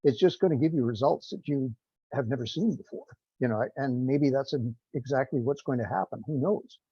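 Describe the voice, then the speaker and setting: A man's voice: medium pitch, fairly quick, mellow, slightly rough, no echo. A man talking informally in a technical teleconference, hesitant, rambling and self-deprecating, over a call connection. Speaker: non-scientist project participant in a group technical call. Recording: dull lo-fi conference call, dry.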